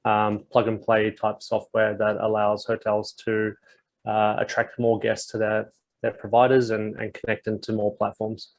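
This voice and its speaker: A man introducing himself and his work on an online panel, relaxed and with a light joke. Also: on the low side, moderate pace, creaky, low-quality recording. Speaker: panelist, regional executive. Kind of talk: video-call introduction. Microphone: video call.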